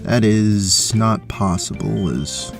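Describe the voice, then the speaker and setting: A man playing a young, unflappable dungeon inspector, formal, pedantic and deadpan, in an audio drama. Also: fairly low pitch, slow pace, creaky, over music. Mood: formal, unruffled. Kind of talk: audio drama character acting.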